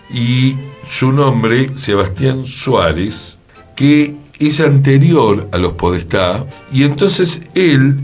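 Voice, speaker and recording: Expressive lower-voiced Argentine man in discussion. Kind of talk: conversational radio discussion.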